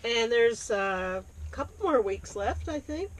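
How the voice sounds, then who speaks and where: A woman's voice: on the high side, animated, a little slow. A woman from Appalachia chatting casually as a co-host about books, in an easygoing, agreeable manner. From Appalachian United States. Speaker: library podcast co-host. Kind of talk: casual book chat. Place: parked library bookmobile. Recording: clear background noise inside vehicle.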